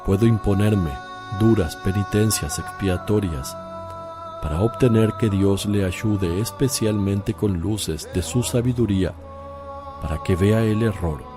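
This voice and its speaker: Calm male religious teacher for radio drama, played by an actor: low, unhurried, measured, solemn, firm. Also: over music, lightly acted. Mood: earnest, solemn.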